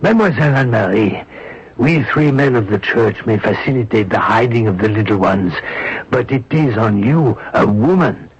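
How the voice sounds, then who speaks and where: An actor playing a grave, kindly French churchman in a radio drama, speaking in a measured, formal way. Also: fairly low pitch, animated, husky. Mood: grave, tender. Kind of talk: radio mystery drama acting. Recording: muffled, noisy old radio recording.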